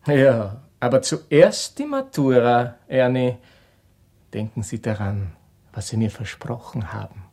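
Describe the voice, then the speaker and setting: An earnest young male student in a radio drama, mid-pitched and slow. He sounds stiff and schoolmasterly, with an Austrian accent. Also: expressive, a little mellow. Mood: earnest, admonishing.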